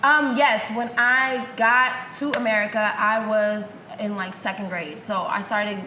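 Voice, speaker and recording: A woman's voice: mid-range voice, steady tone, measured pace, echoey room, background noise. A young woman from Argentina whose first language is Spanish, a model and actress interviewed in a loft, bubbly and chatty with lots of "like" and "you know". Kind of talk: casual interview. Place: loft with big windows.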